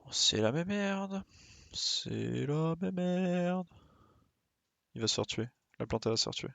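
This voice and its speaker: A man's voice: on the high side, expressive, unhurried, vocal fry, fairly bright tone, no room sound. A man giving casual live game commentary, muttering his reactions to himself. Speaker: game streamer.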